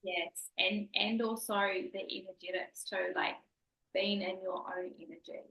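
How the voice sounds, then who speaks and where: Bright-voiced woman host in echoey room. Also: mid-range voice, steady tone, a little slow. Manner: earnest, spiritual, emphatic. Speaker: podcast host, coach. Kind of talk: casual interview chat.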